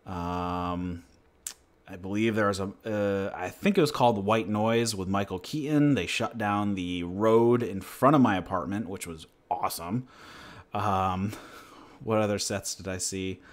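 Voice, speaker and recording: Low-voiced laid-back man rambling casually. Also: measured pace. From New Hampshire, USA. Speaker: channel host. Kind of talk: casual livestream chat and Q&A.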